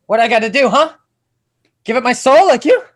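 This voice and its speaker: A man's voice: extremely high pitch, animated, a little fast. A man acting a conflicted, desperate young film crew underdog in a screenplay table read, earnest and emotional. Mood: desperate defiance.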